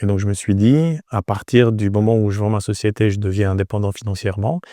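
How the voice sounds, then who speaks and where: A man in his forties from Romania, answering interview questions conversationally, with frequent pauses and repeated words. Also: lowish voice, steady tone, warm tone, no room sound, clean studio sound. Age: 40s. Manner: hesitant, pausing often with repeated words. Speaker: entrepreneur, interview guest.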